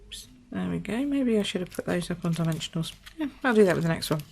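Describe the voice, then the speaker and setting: A woman from the UK, slightly croaky, demonstrating a papercraft project at her table in a chatty, think-aloud way. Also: fairly low pitch, expressive, quick pace, darkish tone. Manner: chatty, thinks aloud with fillers and small apologies. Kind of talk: casual craft tutorial. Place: indoors at a craft table.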